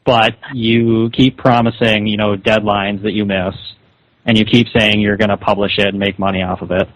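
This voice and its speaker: A man's voice: lowish voice, level tone, moderate pace, slight background noise. A man arguing over the phone in an insistent, scolding, confrontational way. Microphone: telephone call. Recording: dull lo-fi phone call recording.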